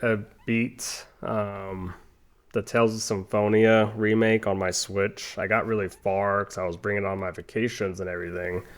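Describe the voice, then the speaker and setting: Laid-back young man with a low voice. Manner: rambling, chatty asides with frequent ums and restarts; relaxed, laid-back guiding of the viewer. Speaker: gaming channel host. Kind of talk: casual let's-play commentary.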